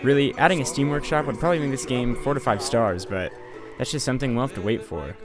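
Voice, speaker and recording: A man's voice: medium pitch, quick pace. A young man doing a casual voice-over game review, sarcastic and profane. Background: faint music.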